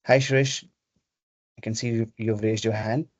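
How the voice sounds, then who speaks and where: A man presenting a webinar, explaining software step by step in an instructional way over a video call. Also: on the low side, fairly even intonation, a little slow, no room sound. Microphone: video call microphone. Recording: dull, lo-fi video call audio.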